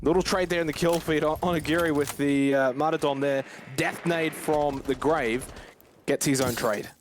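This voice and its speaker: Male esports commentator over clear background noise. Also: fairly high pitch, fairly even intonation, moderate pace. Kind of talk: live play-by-play and analysis of a shooter match.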